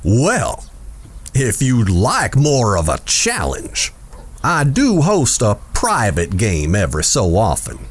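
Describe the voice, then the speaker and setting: An actor playing a smooth, scheming town boss in a western audio drama, measured, self-assured and insinuating. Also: male voice, mid-range voice, huge pitch swings, exaggerated voice. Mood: smooth, polite.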